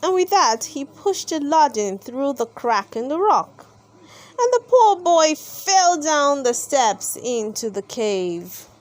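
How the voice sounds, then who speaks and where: A woman reading a children's story aloud as host, with deliberate, dramatic emphasis. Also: sits high, very animated. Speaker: storybook reader and channel host. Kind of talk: expressive children's read-aloud narration.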